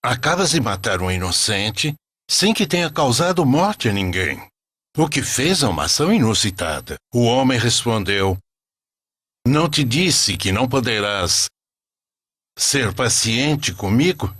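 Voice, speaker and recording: A man narrating a scripted religious story in a solemn, measured storytelling manner. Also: mid-range voice, big pitch swings, fairly bright tone, husky, no room sound.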